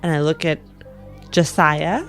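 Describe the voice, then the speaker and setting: A woman's voice: deep voice, measured pace, a bit bright. A woman who co-hosts a tabletop roleplaying podcast, addressing listeners and playing along. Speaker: podcast host and tabletop player. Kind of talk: show announcement and roleplay. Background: music.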